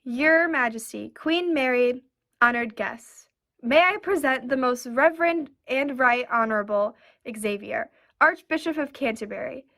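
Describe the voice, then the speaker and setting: Woman acting a formal, high-voiced gracious queen. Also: measured pace, gravelly, pushed and bright, heavily acted. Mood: ceremonious. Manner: formal, ceremonious. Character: regal, courteous queen presiding over a feast. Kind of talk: 1940s radio play acting.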